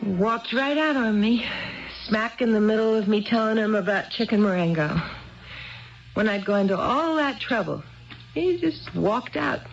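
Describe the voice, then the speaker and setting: Nervous neglected housewife, chatty and needy, old 70s radio play, muffled sound. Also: female voice, a little high, animated, faint music behind. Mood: wounded pride. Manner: chatty, halting, seeking approval. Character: neglected, nervous housewife confessing, bitterly hurt. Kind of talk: 1970s radio drama acting. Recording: muffled vintage radio broadcast recording.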